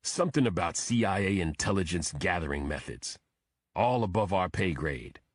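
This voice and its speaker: A man's voice: deep voice, expressive, moderate pace, no room sound. A man voicing a serious FBI agent who briefs a colleague in a terse, official way, in an audiobook. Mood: official, intent. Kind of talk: audiobook character dialogue.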